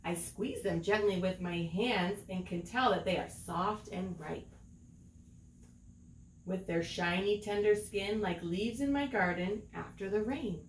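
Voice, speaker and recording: A middle-aged woman reading a children's picture book aloud in an expressive storytelling manner, drawing out words. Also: medium pitch, unhurried, slight background noise. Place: small room at home, indoors. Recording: roomy home recording.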